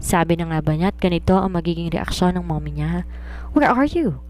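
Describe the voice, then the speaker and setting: A Filipino woman reads a romance novel aloud as a storyteller. Her voice sits a little low for a woman, and she follows the script in narration style. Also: steady tone, brisk, a little mellow.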